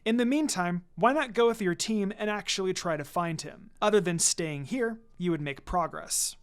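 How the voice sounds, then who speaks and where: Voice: mid-range voice, moderate pace, clean tone, close to an everyday voice. A cool young ninja woman, played by a story reader, sly and unbothered. She teases in a dry, understated, mocking way, as in an anime fan tale. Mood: coolly evasive.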